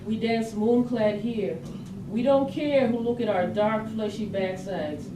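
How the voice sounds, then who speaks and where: A woman's voice: medium pitch, lightly acted. A woman acting an incantatory grandmother storyteller, echoey room. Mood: dreamy, haunted. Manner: slow incantatory delivery in folk vernacular. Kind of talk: poetic staged-reading monologue. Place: live staged reading in a room. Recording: distant mic, echoey room, clear background noise.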